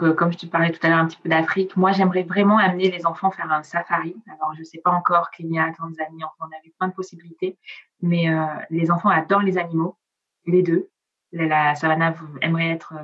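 A middle-aged woman from southern France, whose first language is Italian, answers interview questions in a loose, chatty way. Her voice sits a little low and she fills pauses with hesitations. The recording sounds slightly muffled. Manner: chatty, frequent hesitations, trails off softly. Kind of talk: casual interview chat.

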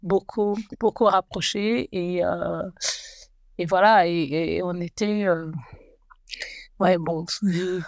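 A woman in her forties, a guest telling her life story slowly and hesitantly, often restarting as she searches for words. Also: on the low side, steady tone, gravelly, no echo. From Belgium and Rwanda. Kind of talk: personal life story interview.

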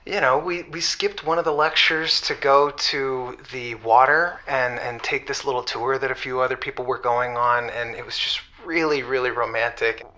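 A man playing an eager, nervous, smitten office worker phoning a radio show in an audio drama, halting and gushing. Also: medium pitch, fairly even intonation, pushed and bright, close to an everyday voice. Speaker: caller. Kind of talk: semi-improvised audio drama acting.

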